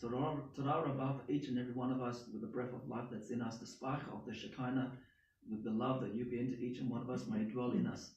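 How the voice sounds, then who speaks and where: Hoarse Australian man, echoey from afar. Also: medium pitch, steady tone, a little mellow, dull audio. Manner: halting with many restarts and fillers. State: hoarse, losing his voice. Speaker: congregation leader. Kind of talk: sermon and spoken prayer. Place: small indoor synagogue. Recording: echoey room from far off.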